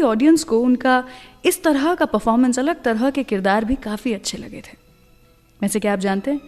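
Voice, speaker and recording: A woman's voice: a little high, darkish tone, in a large hall. A woman hosting a radio show, presenting in a friendly, chatty scripted style with English words mixed in.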